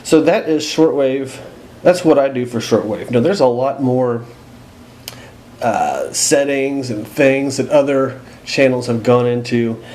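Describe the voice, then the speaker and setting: A man's voice: medium pitch, warm tone, slight background noise. A man with an American accent giving a casual, plain-spoken, unscripted walkthrough as a demonstrator, recorded indoors. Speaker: host demonstrating a radio. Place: indoors at home.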